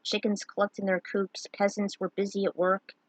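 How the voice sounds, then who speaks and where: Woman reading a fantasy fanfic story, husky voice, dry close sound. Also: mid-range voice, little pitch movement, normal speed, no room sound, rough recording. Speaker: storyteller reading fan fiction. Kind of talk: scripted fantasy story narration.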